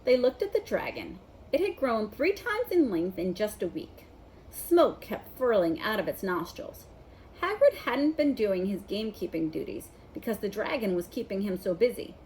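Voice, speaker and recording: A woman narrating a children's novel in an expressive read-aloud style. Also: mid-range voice, normal speed, a little hiss or hum, poor audio. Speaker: storybook reader.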